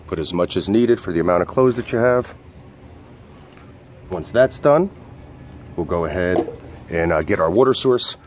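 A man's voice: sits low, expressive, normal speed, long pauses, a little hiss or hum. A man reviewing gear in an informal, hesitant, step-by-step instructional way, as a casual demonstration. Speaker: product reviewer and outdoor coach.

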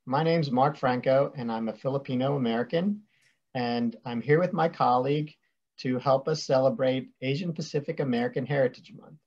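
A man's voice: medium pitch, normal speed, a little mellow. A man in his thirties from West Virginia, an attorney speaking in a friendly, thoughtful way on a video call. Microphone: video call webcam. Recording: dull lo-fi webcam audio.

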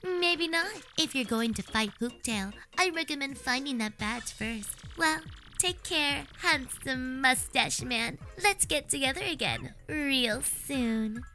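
A woman's voice: high voice, lively intonation, takes it slowly, bright, forceful tone, exaggerated voice. A woman voicing a sly, flirtatious cartoon mouse thief in a fan-acted video game, with coy, theatrical teasing.

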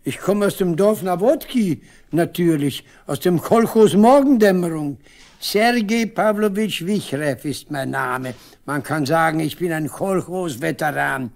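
A man's voice: a little high, animated, measured pace, creaky, a little mellow. A proud old country man in a radio play. He badgers and boasts with stubborn insistence, as a veteran who expects respect. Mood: insistent.

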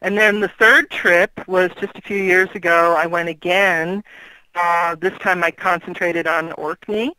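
A woman's voice: lowish voice, steady tone, unhurried, sharp tone, clean tone, rough recording. A middle-aged woman guesting on an interview show, chatting in a rambling way with fillers and easy laughs. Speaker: guest author. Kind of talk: casual interview chat.

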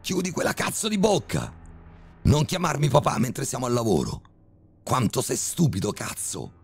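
A man playing a furious, brutal middle-aged criminal father who shouts vulgar threats in a horror story reading. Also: on the low side, huge pitch swings, moderate pace, creaky, slightly rough, pitched above the performer's own voice, heavily acted. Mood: enraged.

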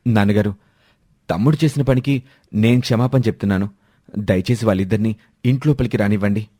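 A man voicing a dutiful, protective elder son who speaks respectfully and earnestly in an animated moral story. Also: fairly low pitch, a little fast, dry recording, clean studio sound, close to an everyday voice. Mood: pleading.

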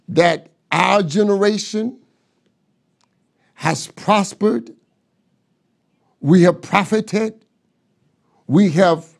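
A man's voice: high-pitched, gravelly, a little mellow. A middle-aged man preaching a sermon from a desk in a study, building his points through rhetorical questions and repetition on a lapel mic. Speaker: pastor. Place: pastor's office with bookshelves, seated at desk.

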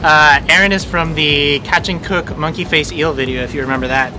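A man's voice: on the high side, steady tone, fairly quick. A man in his thirties, a fishing vlogger, explaining to camera outdoors on an ocean pier. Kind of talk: casual vlog narration. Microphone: action camera at arm's length. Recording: music under the voice.

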